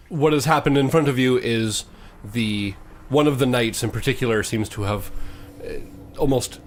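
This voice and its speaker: A man hosting as game master, narrating a tabletop fantasy game in a halting, descriptive way. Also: medium pitch, a little hiss or hum. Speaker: game master, podcast host.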